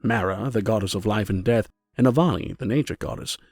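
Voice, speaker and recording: A man's voice: on the low side, fairly quick, a little mellow, slightly rough. A man narrating a scripted fantasy story as its storyteller.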